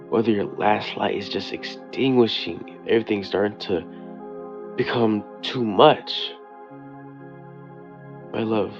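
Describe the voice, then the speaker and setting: A man playing a devoted boyfriend who leaves an intimate late-night voicemail, speaking earnestly and tenderly with hesitant pauses. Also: low voice, lo-fi sound. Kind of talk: intimate boyfriend audio roleplay, slow monologue. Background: soft new-age music.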